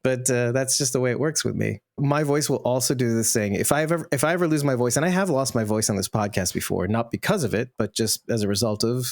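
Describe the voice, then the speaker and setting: A man's voice: mid-range voice, quick pace, hardly any pauses, no room sound, studio quality. A man hosting a podcast in casual chat, playful and talkative with self-deprecating asides. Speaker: podcast host.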